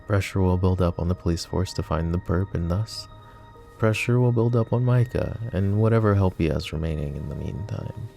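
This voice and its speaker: A man voicing a possessive, menacing mafia boss in a roleplay audio drama, speaking calmly and softly with occasional whispers, close to the microphone. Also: moderate pace, gravelly, soft background music. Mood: scheming. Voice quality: low, soft, close-mic murmur with whispers. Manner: calm, controlled, coolly threatening and doting. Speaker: voice actor. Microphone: close ASMR microphone.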